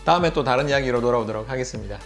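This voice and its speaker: A man's voice: medium pitch, rapid-fire, soft background music. A middle-aged man with a Busan Gyeongsang accent, telling a casual story to camera as a vlogger, chatty and laughing often. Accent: Gyeongsang (Busan) Korean. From Busan, South Korea. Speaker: YouTube vlogger.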